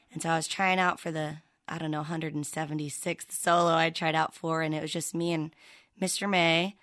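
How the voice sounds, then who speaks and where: Low-voiced Midwestern woman, country singer guest; chatty, rambling storyteller. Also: fairly bright tone, no echo, studio quality. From Midwestern United States. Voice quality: lower speaking voice. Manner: chatty storytelling with many fillers and restarts. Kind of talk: conversational interview.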